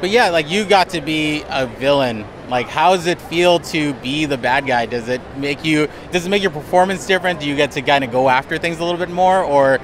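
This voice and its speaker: A man's voice: on the high side, a little fast, hardly any pauses. A man in his thirties conducting a casual fan interview in an informal, appreciative manner. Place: busy convention hall booth. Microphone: handheld interview microphone. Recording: busy convention crowd behind voice.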